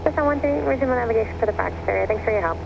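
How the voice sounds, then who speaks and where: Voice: mid-range voice, animated, rapid-fire, vocal fry. An air traffic controller giving clipped, procedural instructions over an aviation radio. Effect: aircraft radio. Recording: muffled noisy aviation radio with aircraft noise.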